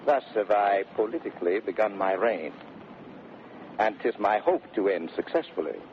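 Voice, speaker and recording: An actor playing a domineering, blustering Shakespearean husband in 1930s radio style, declaiming theatrically between bellows and smooth mock-sweetness. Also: male voice, lowish voice, expressive, moderate pace. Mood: smug scheming. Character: domineering, blustering Shakespearean husband bullying his servants and bride. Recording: noisy, muffled 1930s radio recording.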